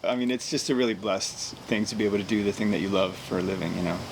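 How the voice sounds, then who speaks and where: A middle-aged man from Virginia, a comedian, chatting casually in an interview, relaxed and chuckling as he thinks aloud. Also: on the low side, quick pace, creaky. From Virginia, USA. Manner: relaxed, chuckles, repeats words while thinking. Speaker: comedian guest. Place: on board a cruise ship. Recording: noisy background on a ship.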